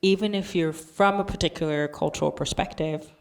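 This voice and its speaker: Low, creaky-voiced woman, earnest. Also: fairly even intonation, brisk. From Zambia and the United States. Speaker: panel speaker. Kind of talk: reflective talk to an audience.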